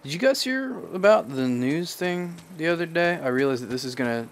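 A man's voice: a little high, lively intonation, brisk. Chatty man commenting over gameplay. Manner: chatty, digressive, thinking aloud. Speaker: livestreamer analyzing a game. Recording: noisy game stream.

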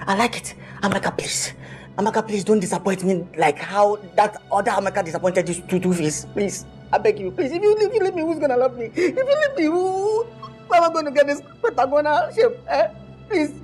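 A man's voice: high voice, fairly quick, soft background music, close to an everyday voice. A man with a Nigerian accent playing a lovesick, penniless young boyfriend in a comedic melodrama, pleading theatrically and repeating himself. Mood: panicked pleading.

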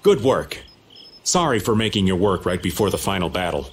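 A man's voice: deep voice, very animated, moderate pace, slight background noise. A man voicing a laid-back, middle-aged rogue in a video game, a wry and kindly mentor with a drawl. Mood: wry, reassuring. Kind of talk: video game cutscene acting.

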